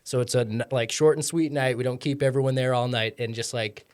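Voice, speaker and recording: A man's voice: mid-range voice, steady tone, brisk, darkish tone. A man appearing as a guest on a podcast in casual chat, explaining at length with lots of "like" fillers. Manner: rambling and explanatory, full of "like" fillers.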